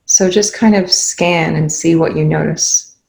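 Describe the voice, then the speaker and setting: A woman guides a meditation in a low voice with very little melodic movement. Her delivery is calm and unhurried, with creaky fry in the tone. She leaves long pauses between short, gentle instructions. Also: rough recording. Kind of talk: guided meditation, spoken softly to listeners.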